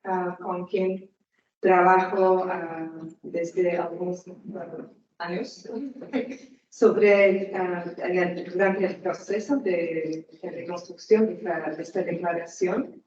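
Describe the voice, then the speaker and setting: Woman, Slovak accent, Portuguese traces, hesitant, slow, distant mic, dull sound. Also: lowish voice, fairly even intonation, darkish tone, a little hiss or hum. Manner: hesitant, searching for words in a non-native language. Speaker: guest speaker. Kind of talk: conference talk. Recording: echoey hall, distant mic, muffled.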